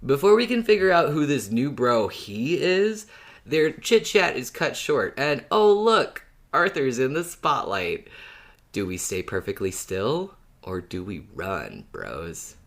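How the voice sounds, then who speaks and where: A man hosts a fan podcast with a very animated, swooping delivery. He talks in playful bro slang and puts on a mock-dramatic tone as he chats and recaps. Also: mid-range voice, slow. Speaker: podcast host.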